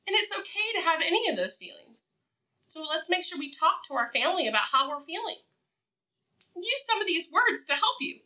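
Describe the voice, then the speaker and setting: A woman preschool teacher with a high, very expressive voice leads a lesson for young children. Her delivery is bright and encouraging, built on simple, repeated phrases and questions left open for the listener. She sounds a little distant from the microphone. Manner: animated, encouraging, asks questions and pauses for answers.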